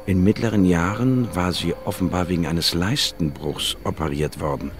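An actor playing an inquisitive, wry male biologist, narrating in a measured, dry manner in a radio drama. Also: sits low, background noise, close to an everyday voice. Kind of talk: scripted first-person radio drama narration.

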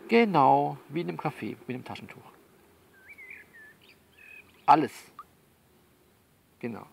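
Gravelly man, coaxing and bossy. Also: fairly high pitch, slight background noise. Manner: coaxing, bossy, repeats himself. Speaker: performer of his own audio novel. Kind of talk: improvised one-sided phone-call monologue, conversational.

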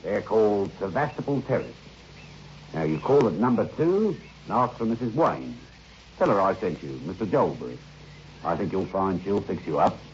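A deferential railway ticket collector from an old radio play. His voice is deep and moves freely in pitch as he deliberates. The recording is muffled, with a little background noise. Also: very animated. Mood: helpful, deliberate. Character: polite, slow-considering male railway ticket collector. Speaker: actor in a radio drama. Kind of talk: old radio drama acting.

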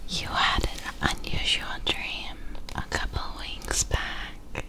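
A young woman whispering softly and breathily in an ASMR roleplay as an optometrist, talking straight to the listener close to the microphone and repeating phrases. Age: young adult. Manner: soft, unhurried, repeats phrases, speaks directly to listener. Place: small dim room indoors. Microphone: close binaural ear microphone.

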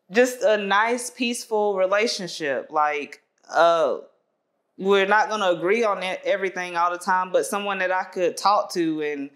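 A woman in her twenties talks openly as a guest, filling her sentences with small hesitations and frequent laughter. She sits in a bright studio and is picked up by a lapel mic. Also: medium pitch. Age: 20s. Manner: candid, laughs often, many fillers. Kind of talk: casual conversation.

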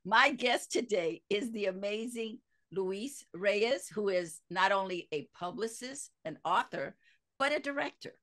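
A woman's voice: medium pitch, lively intonation, measured pace, fairly bright tone. A woman hosting an interview over a headset from her home office, eager and enthusiastic. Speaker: podcast host.

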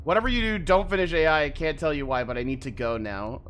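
A streamer giving casual, expressive livestream commentary while playing a game. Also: mid-range voice, fast, a little hiss or hum. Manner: animated, expressive. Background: game music.

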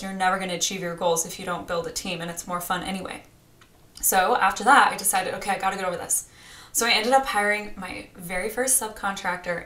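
A woman in her thirties coaching on grant writing in a casual, energetic talk, gesturing as she speaks from a small home studio. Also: mid-range voice, reverberant. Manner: energetic and chatty, talks with her hands. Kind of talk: direct-to-camera teaching vlog.

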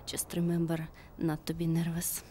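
A woman voicing a nervous inner monologue, quiet and self-reassuring, partly whispered. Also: on the low side, fairly even intonation, moderate pace, a little hiss or hum, lightly acted.

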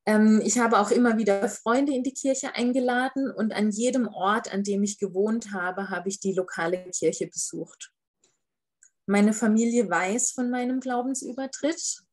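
A woman's voice: medium pitch, darkish tone. A young woman on a video call from a living room, carefully reading a refugee's first-person statement with frequent hesitations. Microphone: laptop webcam on a video call.

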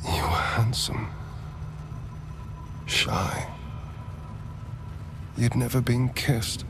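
A man's voice: mid-range voice, drawn-out words, creaky, over music. A man voicing a haughty, theatrical vampire rogue in a video game, with arch, precise, dramatic delivery. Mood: stricken, hushed.

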